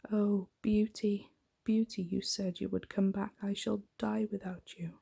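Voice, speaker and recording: A woman softly voicing a sad, lovelorn, gentle male Beast in a bedtime storybook reading, breathy and pleading. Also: mid-range voice, flat delivery, unhurried, exaggerated voice. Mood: dying, pleading. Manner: soft, mournful, breathy.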